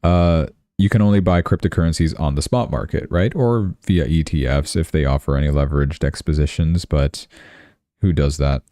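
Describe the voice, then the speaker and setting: A man's voice: a bass voice, normal speed, mellow, no room sound, studio quality. A man hosting a livestream, giving casual, offhand and wry live commentary on crypto charts and news.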